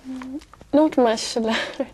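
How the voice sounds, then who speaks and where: A young woman speaks in a mellow, warm voice. She pauses often and fills the gaps with fillers and soft laughs as she searches for her words. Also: high-pitched, breathy edge. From Brazil. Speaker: exam candidate.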